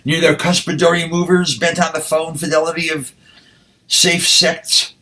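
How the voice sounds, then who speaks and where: A man, a poet, speaking and reciting with deliberate, measured phrasing. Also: fairly high pitch, level tone, sharp tone. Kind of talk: spoken introduction and poetry recitation.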